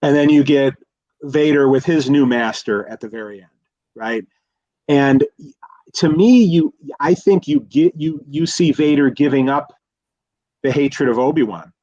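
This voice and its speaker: Male guest expert, mid-pitched, analytical but casual, circles back on his words, muffled lo-fi sound. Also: mellow, dry recording. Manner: halting, repeats words while thinking aloud.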